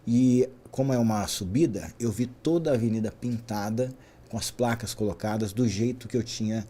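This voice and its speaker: A Brazilian man in his forties, a guest in a podcast interview, telling his career story in an animated way, often tagging his sentences with a quick question. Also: fairly low pitch. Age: 40s. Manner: animated, frequent "né?" tags. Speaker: guest, traffic safety specialist.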